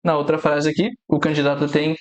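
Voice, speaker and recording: A Brazilian man, an aviation English teacher, explaining exam mistakes in a steady instructional way. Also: on the high side. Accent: Brazilian Portuguese, no fixed regional accent. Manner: explains steadily, quotes radio phrases slowly and deliberately.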